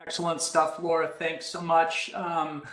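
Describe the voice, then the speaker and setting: Higher-voiced male host in an echoey room. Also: completely flat delivery, a little slow, a bit bright. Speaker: webinar host. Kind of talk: moderating a webinar.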